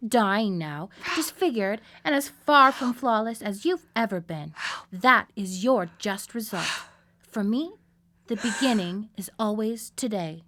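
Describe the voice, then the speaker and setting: A woman acting a betrayed lab assistant back from death in a radio drama, deliberate, theatrical and coldly vengeful. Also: a little high, fairly bright tone.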